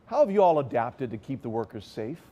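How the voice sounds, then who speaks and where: Male news anchor, measured and conversational. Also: mid-range voice, sing-song, warm tone, lo-fi sound. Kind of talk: news interview.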